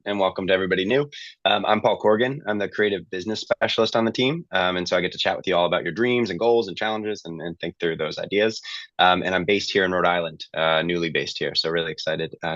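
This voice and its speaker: Male co-host, quick delivery, lowish pitch, casual, on dry, dull online-call sound. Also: fairly bright tone, dry recording. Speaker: creative business specialist, co-host. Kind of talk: casual self-introduction on online session.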